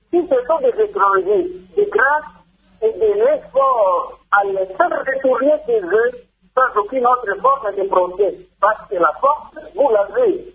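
High-voiced male caller on phone line. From Congo. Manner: pleading, emotional appeal. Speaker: listener caller.

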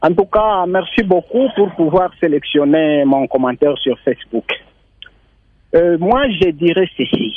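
A young man from Maniema calling in to a radio show, complaining in a halting way and repeating words. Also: a little high, phone-quality sound. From Maniema, Congo. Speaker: radio caller. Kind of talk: call-in comment.

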